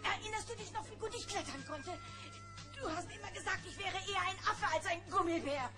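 A woman playing a feisty, elderly, shrunken granny bear in a German children's audio play, with an indignant, animated manner. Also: very high voice, fairly quick, over loud music. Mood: wistful.

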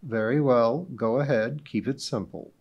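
A man reading aloud and voicing a stern, patient judge who speaks in a measured, authoritative way. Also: medium pitch, husky, lo-fi sound. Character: stern weary male judge, dry and patient.

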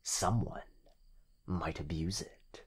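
A man playing a sinister, possessive living shadow in a yandere ASMR roleplay, taunting in a breathy half-whisper. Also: deep voice, steady tone. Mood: smug, menacing. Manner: menacing, drawn-out taunting delivery.

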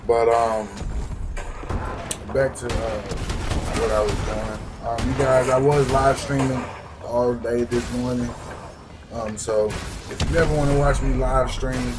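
A hoarse young man giving rambling, slangy, casual commentary over his gameplay, full of fillers and restarts. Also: mid-range voice, steady tone, measured pace. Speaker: gaming YouTuber. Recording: loud background noise.

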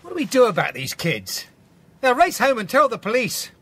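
An actor with a British accent playing a distrustful, snappish crook in a children's audio drama. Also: male voice, very high voice, wildly swooping pitch, moderate pace, fairly bright tone, husky, heavily acted. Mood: wary. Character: distrustful, snappish male crook with guard dog. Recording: faintly noisy cassette audio drama.

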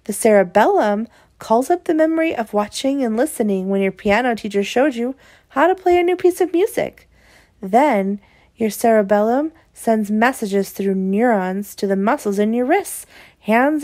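High-voiced woman reading to children. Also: normal speed. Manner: expressive, explanatory, addressing young children. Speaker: read-aloud presenter. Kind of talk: reading a children's picture book aloud.

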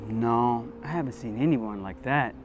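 A man playing a middle-aged police captain, calm, shrewd and skeptical, in a scripted short drama. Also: mid-range voice, slight background noise, natural voice. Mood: wary, skeptical. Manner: measured, skeptical. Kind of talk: scripted short-film acting. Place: outdoors in a parking lot.

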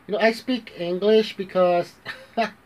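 A man's voice: high-pitched, steady tone, measured pace, rough recording. A man in his thirties with an American accent, talking casually into a phone camera in a small bedroom, rambling and restarting, mixing in Tagalog phrases. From Philippines, raised in the United States. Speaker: vlogger. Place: bedroom, small indoor room. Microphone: phone camera at arm's length.

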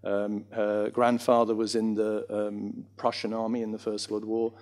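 A man's voice: lowish voice, completely flat delivery, unhurried, a little mellow. A middle-aged man speaking reflectively and discursively on a panel, self-deprecating, into a handheld microphone. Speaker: panellist, reminiscence arts practitioner and former actor. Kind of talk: informal panel talk. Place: small theatre stage in front of an audience.

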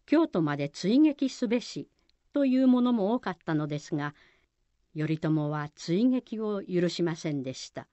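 A woman's voice: on the low side, expressive, normal speed, mellow, no echo. A woman narrating a historical folk tale for a radio drama as a scripted storyteller. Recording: dull, lo-fi sound. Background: music.

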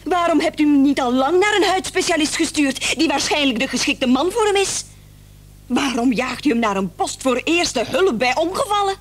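Insistent wife, high and animated. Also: female voice, moderate pace. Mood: indignant, accusing. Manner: insistent, sharply reproachful. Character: determined, protective wife of a sick man. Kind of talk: Dutch-language radio play acting.

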